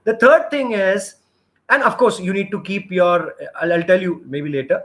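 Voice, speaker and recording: A man's voice: sits high, normal speed. A middle-aged man, coaching job seekers in a live session, earnest and rambling, with many restarts and fillers. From India. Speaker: interview trainer.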